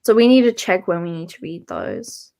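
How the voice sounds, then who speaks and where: A woman's voice: on the low side, huge pitch swings, moderate pace, vocal fry, dark tone. A woman co-hosting a book readalong live show in casual chat, informal and tentative as she thinks aloud.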